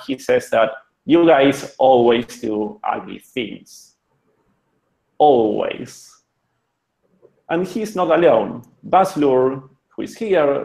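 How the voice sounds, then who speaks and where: An Argentinian man presents to developers over a video call, friendly and joking, with loose restarts. His voice has a gravelly edge. The room sounds echoey through his computer mic. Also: mid-range voice, a little mellow. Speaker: web designer presenting a talk. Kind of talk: online conference presentation.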